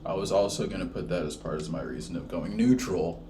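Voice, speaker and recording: A man's voice: sits low, a little fast, echoey room, background noise. A young man from Florida co-hosting a casual soccer podcast, laid-back and slangy, saying "bro" a lot. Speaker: podcast co-host. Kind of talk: casual sports chat.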